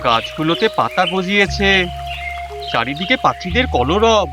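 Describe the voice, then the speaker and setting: A timid young tribesman, lovestruck and deferential. His hesitant speech swings widely in pitch, in audio drama style over a music bed. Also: sing-song, a little slow. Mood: descriptive, eager. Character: timid, lovestruck young man of a prehistoric clan. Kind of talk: Bengali audio drama acting.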